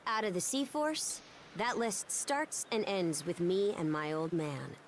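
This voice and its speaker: A woman's voice: fairly low pitch, a little slow, slight background noise, natural voice. An actress voicing a brash, proud young pirate woman in a video game, with a blunt, taunting and confident delivery. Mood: proud, explaining. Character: brash young Norman pirate woman, sharp-tongued, proud, fierce fighter. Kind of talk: English video game voice acting.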